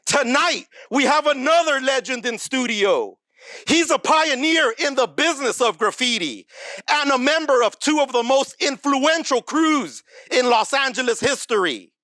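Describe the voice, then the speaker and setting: A man's voice: very high-pitched, expressive, moderate pace, sharp tone, dry recording. A man hosting a studio interview, opening with a formal announcer-style introduction and then asking questions. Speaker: show host.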